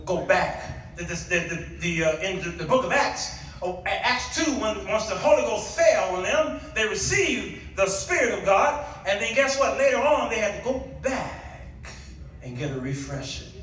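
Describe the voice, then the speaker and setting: Echoey high-pitched American man preaching. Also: normal speed. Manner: animated, folksy, acting out exchanges; rhetorical questions to the congregation. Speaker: guest preacher. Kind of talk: storytelling sermon. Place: church, before a congregation. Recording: echoey hall, picked up from far off.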